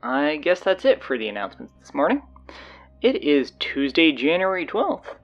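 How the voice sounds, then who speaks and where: A man playing a flustered, sardonic stand-in high school announcer, rambling hesitantly and casually through audio drama announcements. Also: big pitch swings, moderate pace, highs cut off, soft background music.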